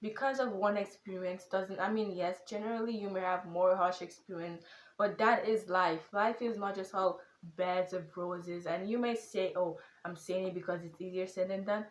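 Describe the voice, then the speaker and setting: A woman's voice: mid-range voice, moderate pace, echoey room. Earnest teenage girl with a Nigerian accent. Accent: Nigerian, with some British and American. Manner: earnest, animated and emphatic. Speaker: content creator. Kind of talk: casual vlog monologue.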